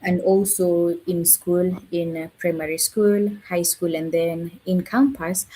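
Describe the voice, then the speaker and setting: Soft-spoken husky woman educator. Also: lowish voice, fairly even intonation, a little slow, rough recording. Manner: hesitant, many fillers and self-corrections. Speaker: language educator, guest. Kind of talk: live online interview.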